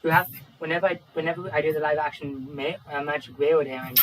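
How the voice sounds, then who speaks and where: Man, casual stream chat, higher voice, distant mic, faint background noise. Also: fairly even intonation, fast talker.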